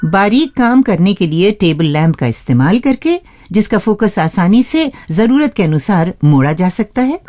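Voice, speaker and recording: A woman's voice: mid-range voice, darkish tone, a little hiss or hum. A woman presenting a scripted radio show, explaining things step by step and addressing listeners as friends.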